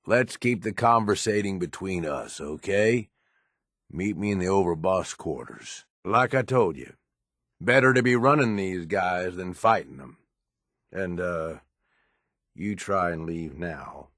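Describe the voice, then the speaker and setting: Deep, husky raider right-hand man. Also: lively intonation, unhurried, a bit bright, no echo, studio quality, heavily acted. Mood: pressing, persuasive. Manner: blunt, coaxing, colloquial and profane. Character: gruff, streetwise male raider right-hand man, pragmatic schemer. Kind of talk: video game character dialogue.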